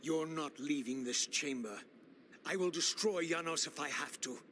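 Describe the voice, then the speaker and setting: A man's voice: on the high side, moderate pace, a bit bright, slight background noise, close to an everyday voice. An actor playing a proud, defiant male undead wraith in a gothic video game, with intense contempt. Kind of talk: dramatic gothic game acting.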